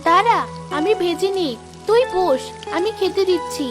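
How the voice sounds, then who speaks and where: A woman voicing a gentle, caring young village mother in a cartoon story. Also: very high-pitched, moderate pace, over music. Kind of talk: Bengali cartoon story voice acting.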